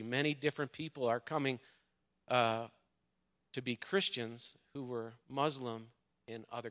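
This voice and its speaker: A man speaks at an ordinary middle pitch from the front of a church. He introduces a visiting pastor haltingly, with many pauses and fillers. He sounds a little emotional. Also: a bit bright. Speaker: church member introducing a guest. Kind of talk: church announcement. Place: church sanctuary.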